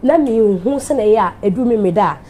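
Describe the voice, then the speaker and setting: A woman's voice: medium pitch, animated, brisk, dark tone, a little hiss or hum. A Ghanaian woman hosting a TV show, giving an emphatic, heartfelt speech that mixes English and Twi.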